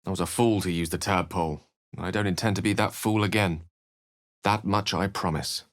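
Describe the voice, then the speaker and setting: Video game wizard, male actor: low-pitched, eloquent and courteous, bookish phrasing with a melancholy streak. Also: fairly even intonation, a little fast, lightly acted. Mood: pensive, resolute. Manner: articulate, bookish, theatrical phrasing. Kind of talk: video game character voice lines.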